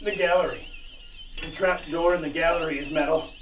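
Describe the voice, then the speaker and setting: Male actor as excitable lighthouse keeper, theatrical, high-pitched, drawn-out lines, audio horror drama, noisy echoing sound. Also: close to an everyday voice. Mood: alarmed. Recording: echoey, noisy radio play sound.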